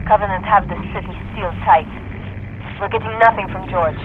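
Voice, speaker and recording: A woman's voice: mid-range voice, fairly quick, noisy background, lightly acted. A woman playing a controlled female military radio operator in a video game, speaking in clipped phrases. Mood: grave, controlled. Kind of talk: dramatic video game cutscene acting. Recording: dull radio sound, loud music, aircraft.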